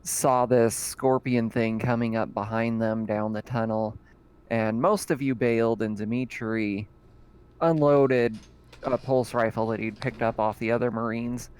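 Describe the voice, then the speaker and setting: A man's voice: mid-range voice, fairly even intonation, a little mellow, smooth. A man running a tabletop horror game as game master, narrating casually with frequent laughs and filler sounds. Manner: laughs often, fills pauses with "uh" and "um".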